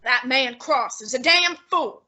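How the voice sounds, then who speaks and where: A pompous, imperious male detective, played by a young woman in a radio play. The voice sits high and sounds bright and tense. The lines are clipped and scornful. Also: expressive, unhurried, heavily acted. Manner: haughty, clipped, dismissive. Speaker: high school drama student. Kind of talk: amateur radio play acting.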